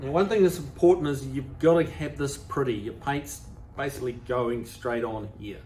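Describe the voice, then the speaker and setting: A man in his sixties with a New Zealand accent, showing car restoration work in a workshop in a relaxed, practical, plain-spoken way. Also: medium pitch, normal speed, heavy background noise. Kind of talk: casual how-to demonstration. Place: large car workshop, indoors.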